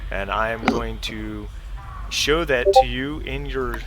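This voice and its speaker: A man running a tabletop role-playing game as game master, explaining in a halting, deliberate way. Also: mid-range voice, big pitch swings, a little hiss or hum, poor audio.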